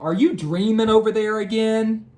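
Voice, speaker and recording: A woman's voice: fairly high pitch, fairly even intonation, moderate pace, darkish tone, a little hiss or hum, pitched above the performer's own voice, lightly acted. A woman reading a storybook, voicing a frank, encouraging young schoolboy talking to his friend. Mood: nagging, teasing. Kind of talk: storybook read-aloud character voice.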